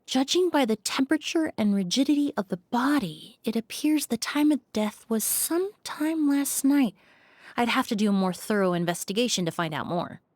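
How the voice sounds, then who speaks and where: A woman voicing a composed young woman who examines a crime scene in a measured, analytical way. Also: fairly high pitch, expressive, a little mellow, no room sound, clean studio sound. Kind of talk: video game voice acting.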